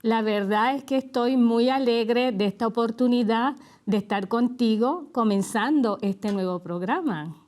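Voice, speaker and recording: A woman's voice: on the high side, a little slow, hardly any pauses. Puerto Rican woman sharing a testimony. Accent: Puerto Rican Spanish. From Puerto Rico. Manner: relaxed storytelling with light laughs. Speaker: co-host and guest. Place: TV studio.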